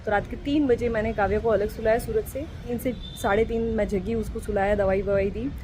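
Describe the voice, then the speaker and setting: A young woman chats to her viewers in a relaxed vlog, telling her story earnestly and adding encouraging words. Her voice sits fairly high. Clear street and traffic noise runs behind her. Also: fairly quick. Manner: chatty, earnest storytelling with motivational asides. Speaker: vlogger. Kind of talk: casual vlog chat.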